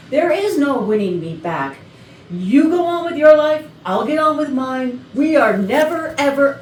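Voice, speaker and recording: A woman's voice: high voice, darkish tone. An actress playing a frazzled young woman in a comedy play reading, exasperated and emphatic. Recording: distant, echoey room with faint noise.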